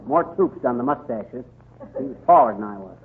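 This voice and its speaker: An actor playing a boastful, folksy middle-aged American husband in a 1930s radio comedy, with a bragging, animated manner. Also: male voice, mid-range voice, fairly quick, creaky. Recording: scratchy, tinny 1930s radio recording.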